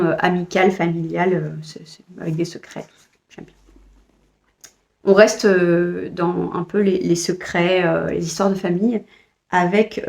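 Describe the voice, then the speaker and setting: A woman's voice: fairly low pitch, vocal fry. A French woman vlogger chatting casually about books she bought, hesitant with frequent fillers and restarts. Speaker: book vlogger. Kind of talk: casual book haul chat.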